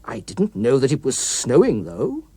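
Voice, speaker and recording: A man's voice: medium pitch, huge pitch swings, a little slow, warm tone, breathy edge, no echo, exaggerated voice. Guy doing an anxious polite writer type in an audiobook, scared n hesitant, voice goes up and down loads. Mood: eager, nervous. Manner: anxious, hesitant, eager to please. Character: nervous, anxious male writer, polite and fearful. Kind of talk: dramatic audiobook character.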